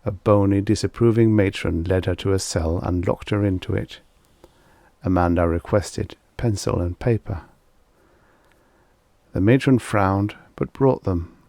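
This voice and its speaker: A man's voice: sits low, unhurried, creaky, dark tone, dry recording. A man reading a vintage science fiction short story as scripted audiobook narration.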